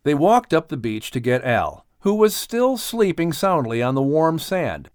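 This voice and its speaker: A man narrating a scripted children's story as an audiobook reader, with expressive delivery. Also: on the high side, a little slow, clean tone, no echo, clean studio sound.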